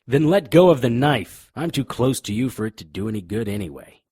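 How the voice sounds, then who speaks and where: A man's voice: mid-range voice, lively intonation, fairly quick, a little mellow, breathy edge, no room sound, studio quality. A man playing a tough, sardonic private investigator in a noir radio drama, both narrating and speaking in scenes. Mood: urgent. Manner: hard-boiled, sardonic. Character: tough, defiant, wry male private investigator. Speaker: lead actor and narrator.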